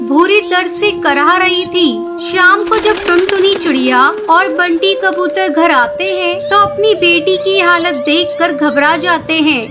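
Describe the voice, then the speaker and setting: A woman's voice: extremely high pitch, measured pace, few pauses, loud music behind the voice. A woman narrator reading a scripted children's cartoon story.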